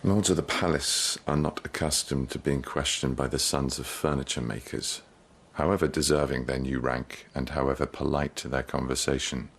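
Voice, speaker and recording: A haughty lord of an imperial court in a radio drama. His voice is extremely low and a little husky, and he speaks with imperious, formal disdain, suspicious of everyone beneath him. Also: steady tone, moderate pace, exaggerated voice.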